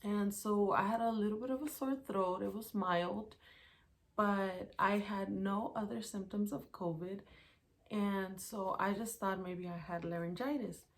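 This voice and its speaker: A woman's voice: medium pitch, fairly even intonation, measured pace. A young woman talking casually to her channel, recounting events day by day from her notes with plenty of fillers. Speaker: YouTuber. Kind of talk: casual vlog storytelling.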